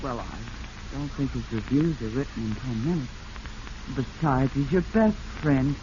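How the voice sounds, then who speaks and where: A woman's voice: rumbling low, measured pace. A woman playing a patient, devoted young wife, coaxing and earnest in 1940s radio acting style. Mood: pleading, earnest. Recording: muffled, noisy vintage radio recording.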